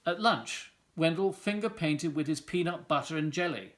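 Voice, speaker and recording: A man's voice: a little high, normal speed, fairly bright tone. A man reading a children's picture book aloud as a storyteller. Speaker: volunteer story reader.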